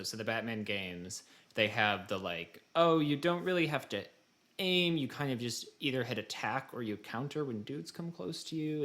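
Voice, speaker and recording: A man's voice: fairly low pitch, fairly bright tone. A man chatting casually about video games as a podcast co-host, explaining things and asking questions.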